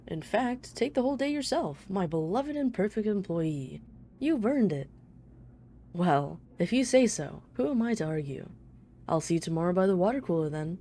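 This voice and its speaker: Woman playing a low, mellow, teasing female mafia boss. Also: slight background noise. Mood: mock businesslike. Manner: playful, smug, flirtatious banter. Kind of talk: ASMR roleplay, one-sided dialogue.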